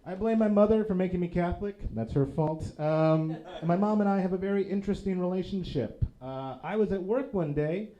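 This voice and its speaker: A man's voice: fairly quick, few pauses, dull audio. A man performing a stand-up comedy set in a small theater, self-deprecating, with a handheld mic and the audience laughing between jokes. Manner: self-deprecating, lively delivery with drops into low deadpan for punchlines. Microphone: handheld microphone. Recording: live club recording with audible background noise.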